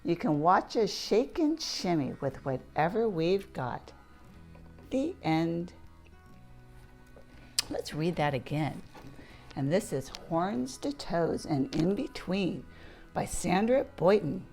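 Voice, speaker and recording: A woman's voice: fairly low pitch, big pitch swings, slow pace, long pauses, a little mellow, husky, faint music behind. A woman reading a picture book aloud to young children in a playful, interactive storytelling manner. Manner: playful, asks listeners questions and points things out.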